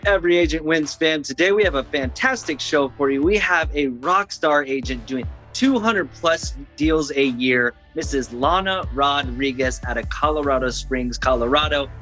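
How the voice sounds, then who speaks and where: A man hosting a podcast, energetic and hype-filled, often stumbling and restarting his sentences. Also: a little high, normal speed, few pauses, soft background music. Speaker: podcast host. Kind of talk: hyped show host intro and interview.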